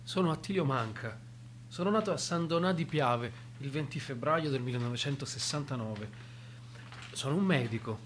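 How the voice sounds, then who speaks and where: An actor playing a murdered young male doctor who tells his own story in a measured, deliberate radio drama monologue. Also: mid-range voice, slight background noise, natural voice. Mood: proud, composed.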